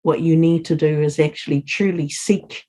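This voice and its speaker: A woman's voice: low-pitched, fairly even intonation, normal speed, dark tone. A woman who speaks English as a second language, talking as a podcast guest in an earnest, encouraging way. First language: non-English first language. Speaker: podcast guest, community leader. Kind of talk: casual interview talk.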